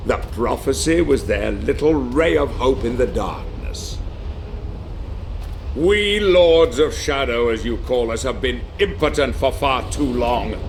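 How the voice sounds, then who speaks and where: A man's voice: medium pitch, slight background noise. A man playing a treacherous, power-hungry sorcerer lord in a video game, pompous and taunting, savoring every phrase. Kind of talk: dramatic video game villain monologue. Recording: dramatic music behind the voice.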